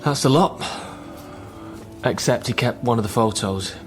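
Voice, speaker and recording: A man's voice: medium pitch, a little slow, close to an everyday voice. An actor playing a worried, guarded British man in a naturalistic radio drama, speaking in an earnest, hesitant way. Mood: guarded, subdued. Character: worried, guarded divorced son caring for troubled father. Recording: faint music under radio drama.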